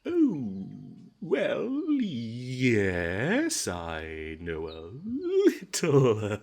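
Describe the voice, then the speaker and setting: Man playing a stammering, smooth-talking captured adventurer, lively pitch. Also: very slow, heavily acted. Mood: sheepish, uneasy. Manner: stammering, hesitant, ingratiating, nervous chuckles. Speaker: voice actor. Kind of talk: comedic fantasy audio roleplay.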